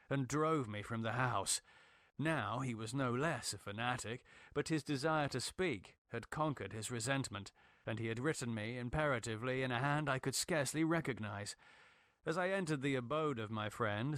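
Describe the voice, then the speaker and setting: A man reading gothic horror as a dramatic narrator, in a grave, measured, theatrical manner. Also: mid-range voice, a bit bright, no room sound, studio quality. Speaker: narrator, audiobook reader.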